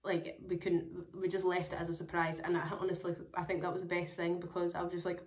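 A young woman with a hoarse, crackly voice, chatting casually to camera about her travels, rambling with lots of fillers. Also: fairly low pitch, flat delivery, fast, a little mellow. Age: young adult. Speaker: travel vlogger. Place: small room indoors at home.

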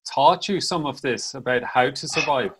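A man with an Irish accent hosting a podcast, gently asking questions over a video call. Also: mid-range voice, normal speed, husky, poor audio. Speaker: podcast host. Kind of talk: interview questions. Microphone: video call webcam.

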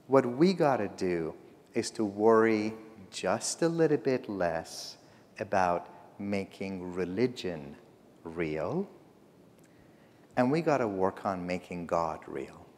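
A man's voice: low voice, lively intonation, takes it slowly, darkish tone. A middle-aged man, not a native English speaker, preaching a humorous, story-driven sermon from a church pulpit. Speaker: guest preacher. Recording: long church reverb.

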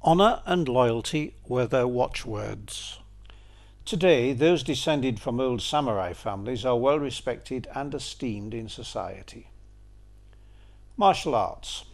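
A man's voice: mid-range voice, lively intonation, takes it slowly, gravelly. An older man giving an illustrated lecture, with dry, wry asides. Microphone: seen on camera briefly, then voice-over.

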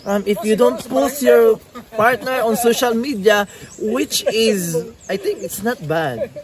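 A man's voice: high voice, measured pace, crowd noise. A young man with a Filipino accent, teasing and joking playfully on a casual vlog outdoors. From Philippines. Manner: playful, teasing, repeats words. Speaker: vlogger. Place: outdoors at a waterfall and forest. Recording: noisy outdoor camera audio.

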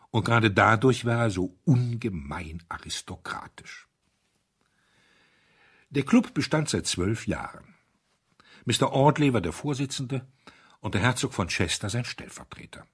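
A man narrating a literary story as an audiobook, in a measured, deliberate way with expressive emphasis. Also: mid-range voice, slightly rough.

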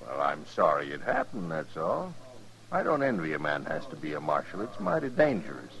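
An actor playing a smug, sly gambler with a folksy, insinuating manner in a 1950s radio western. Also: male voice, rumbling low, huge pitch swings, moderate pace, vocal fry, a bit bright, full character voice, poor audio. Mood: smooth, needling. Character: smug, sly crooked gambler in the Old West. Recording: 1950s radio recording, crowd noise behind.

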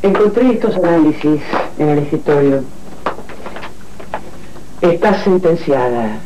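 A woman's voice: sits low, lively intonation, a little slow, warm tone, a little hiss or hum, rough recording. A woman playing a cold, accusing lady of the house with an Argentine accent in a telenovela.

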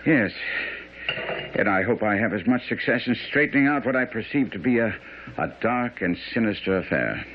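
An actor playing a shrewd, commanding Victorian detective in a radio drama, questioning with incisive authority. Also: male voice, lowish voice, animated, moderate pace, dull audio, close to an everyday voice. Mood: confident. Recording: noisy, band-limited old radio broadcast.

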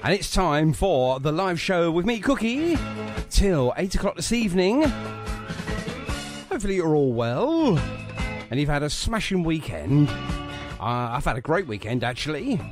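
A man's voice: a little high, very animated, normal speed. A British man hosting a live radio show with casual, chatty, jokey talk. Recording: music playing behind the voice.

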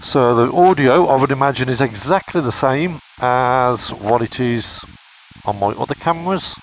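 Deep-voiced English biker vlogging. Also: male voice, animated, normal speed, slight background noise, low-quality recording. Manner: chatty, explains as he goes. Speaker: motorcycle vlogger reviewing a camera. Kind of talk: casual product review vlog. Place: home and garden, then outdoors by his motorbike. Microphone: helmet microphone of action camera.

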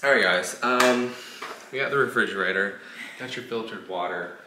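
A man's voice: lowish voice, moderate pace, bright, forceful tone, slight background noise. A man in his thirties chatting casually in a laid-back, teasing way, picked up by a camera in a kitchen. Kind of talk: casual chat. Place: kitchen of a house. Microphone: off camera and a few feet from handheld camera. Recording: echoey room, picked up by camera.

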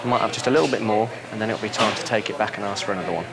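British male, thirties, quick casual delivery, prank presenter, loud pub crowd and music behind. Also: fairly low pitch, creaky. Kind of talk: casual hidden-camera prank narration and chat. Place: busy pub.

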